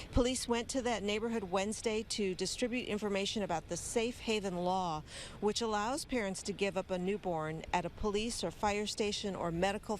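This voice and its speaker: A woman with an American accent giving a live field news report outdoors in measured, short phrases. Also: medium pitch. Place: outdoors on a city street. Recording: noisy outdoor street location.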